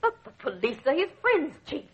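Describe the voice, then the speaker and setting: A brisk gang secretary in a vintage radio drama. Her voice is high and animated, officious and businesslike, on a dull old recording. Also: slight background noise. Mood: urgent.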